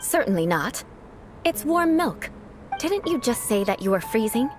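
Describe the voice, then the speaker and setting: A woman's voice: fairly high pitch, big pitch swings, measured pace, over loud music. A woman voicing a proud young aristocratic knight in a video game, speaking in a haughty, formal and elegant manner. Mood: teasing, composed. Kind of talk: video game character acting.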